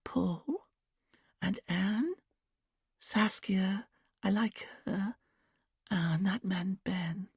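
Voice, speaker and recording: A man voicing a young woman in a hypnotic trance, who answers in a quiet, breathy, childlike sing-song. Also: sits high, extremely slow, long pauses, dry recording, clean studio sound, voice pushed up, heavily acted. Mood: dreamy, entranced.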